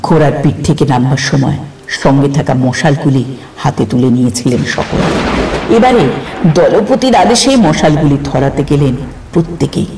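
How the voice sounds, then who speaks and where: A man's voice: a little high, normal speed, vocal fry, a little mellow, slight background noise. A man narrating a scripted horror story as a storyteller, with suspenseful, theatrical delivery. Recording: roomy, distant sound with music behind.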